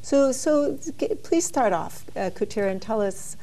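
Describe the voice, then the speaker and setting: Mellow-voiced woman hosting a talk show. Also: on the high side, lively intonation, a little hiss or hum. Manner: friendly, halting introductions.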